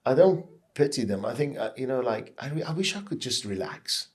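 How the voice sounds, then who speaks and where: A man in his late fifties hosts an interview in a conversational way. His voice sits at an average pitch. He probes in short phrases and speaks personally. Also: animated, a little fast. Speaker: podcast host, interviewer.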